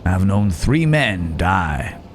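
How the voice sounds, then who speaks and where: A man's voice: deep voice, very animated, faint music behind, heavily acted. A man playing a roguish, genteel Wild West stranger in an ASMR roleplay, wry and musing, speaking straight to the listener. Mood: fond, reminiscing. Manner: unhurried, wry, musing, with drawn-out pauses.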